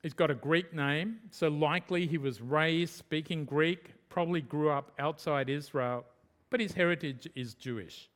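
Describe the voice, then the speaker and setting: An Australian man delivering a sermon as the pastor, his voice sitting a touch higher than most men's. He speaks earnestly and emphatically, leaning into his main points and repeating them for weight. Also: normal speed, fairly bright tone. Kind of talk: expository sermon.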